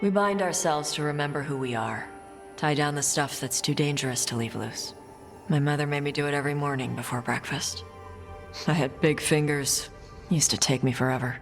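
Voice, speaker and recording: Blunt young warrior, reverberant. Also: female voice, deep voice, moderate pace, soft background music. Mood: reflective. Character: blunt, earnest young qunari dragon hunter. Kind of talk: video game voice acting.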